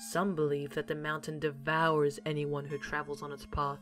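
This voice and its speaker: A woman's voice: deep voice, flat delivery, moderate pace, fairly bright tone, soft background music. A woman delivering scripted narration as the narrator of an audio series, in a formal storytelling manner.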